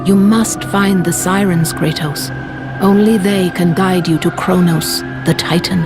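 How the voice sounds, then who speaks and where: A woman voicing a solemn, commanding goddess in a video game, stately and measured. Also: fairly low pitch, fairly even intonation, natural voice. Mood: solemn guidance. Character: commanding, solemn goddess guiding a mortal hero. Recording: music behind the voice.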